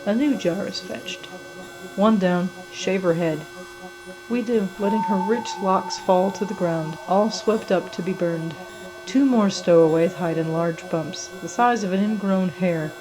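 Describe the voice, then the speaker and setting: A woman's voice: on the low side, a little slow, a crowd in the background, rough recording. A woman giving a cold, menacing, clinical first-person narration of a horror story. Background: music.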